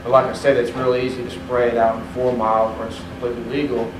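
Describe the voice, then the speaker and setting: A man's voice: medium pitch, flat delivery, fast talker. A man on a panel answering audience questions, rambling with plenty of "you know" fillers. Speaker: panelist for the fishing industry. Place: hotel conference room. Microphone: distant room mic. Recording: echoey room, distant mic, air-conditioning hum.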